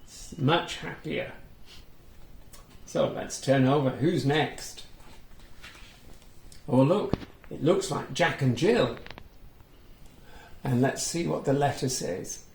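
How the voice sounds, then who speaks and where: Slow-paced male storyteller in an echoey room. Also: medium pitch, creaky, slight background noise. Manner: simple, gently guiding, talks to the listener. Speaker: children's storyteller. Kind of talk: storybook read-aloud for young children.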